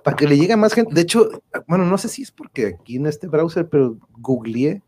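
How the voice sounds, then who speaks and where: A man's voice: a little high, fairly quick, a little mellow, slightly rough, dry recording. Playful chatty man with a dry, close sound. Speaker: host of a live online radio-play show. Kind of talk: casual live chat.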